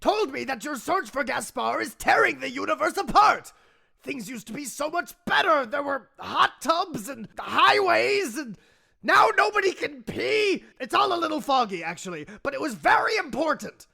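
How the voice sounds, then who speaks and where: A man voicing an excitable, melodramatic evil inventor in a comedy audio drama, declaiming in an overwrought way and prone to shouting. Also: normal speed, full character voice. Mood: frantic. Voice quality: high-pitched, creaky, sharp.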